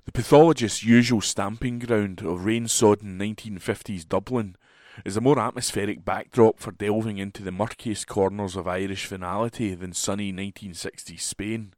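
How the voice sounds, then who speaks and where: A man's voice: fairly low pitch, steady tone, moderate pace, a little mellow, no echo, clean studio sound. A man reading a newspaper book review aloud as a volunteer, recorded at home.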